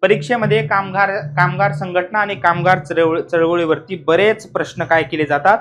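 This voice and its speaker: A man's voice: high voice, fairly even intonation, moderate pace, fairly bright tone. A man teaching an exam coaching lesson, explaining emphatically, repeating key points and often checking understanding with rhetorical questions.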